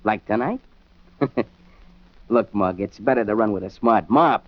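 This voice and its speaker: A man's voice: fairly low pitch, slow pace, no room sound. An actor playing a cocky teenage boy who leads a street gang, talking tough slang in 1940s radio style. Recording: dull 1940s radio recording.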